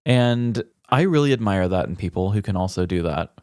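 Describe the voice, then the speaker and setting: A man's voice: low voice, animated, brisk, darkish tone, dry recording, studio quality. A man co-hosting a comedy podcast, moving between casual banter and scripted humorous storytelling.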